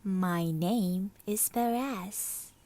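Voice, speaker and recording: A woman voicing a sweet, gentle young male mouse in a storybook, speaking softly and slowly. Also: medium pitch. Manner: soft, slow, gentle.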